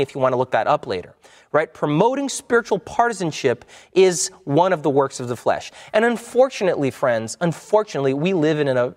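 A man teaching from the Bible in a conversational sermon style, often repeating words and restarting his sentences. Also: fairly high pitch. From United States. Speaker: preacher. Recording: long hall reverb.

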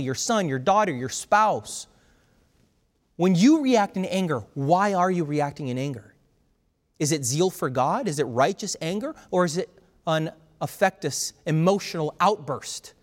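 Pastor, male, from Brazil. Loud, emphatic, fervent delivery, fairly high voice, long hall reverb. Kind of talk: sermon.

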